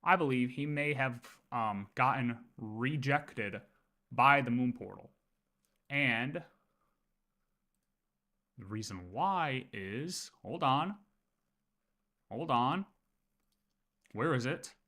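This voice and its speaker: A man's voice: medium pitch, slow, long pauses, pushed and bright. A man livestreaming casual game lore commentary, thinking aloud and often repeating his words as he works through ideas.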